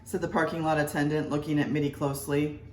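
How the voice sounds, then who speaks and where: A woman's voice: low voice, little pitch movement, fast. A woman narrating a scripted story aloud with expression. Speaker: story reader. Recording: roomy, distant mic, faint noise.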